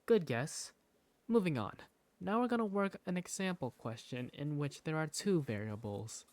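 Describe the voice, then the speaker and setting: A man voicing a stern, sarcastic male high school math teacher in a visual novel fandub. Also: animated, moderate pace, darkish tone, no room sound.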